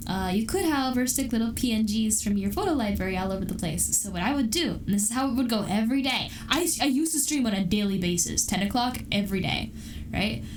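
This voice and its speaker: A young woman streams and chats loosely with her viewers, giggling often and trailing into restarts. Her voice sits fairly high and comes from a little way off the mic, with steady background noise. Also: fast, distant mic. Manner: giggly, rambling, many restarts. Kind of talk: casual chat with live chat.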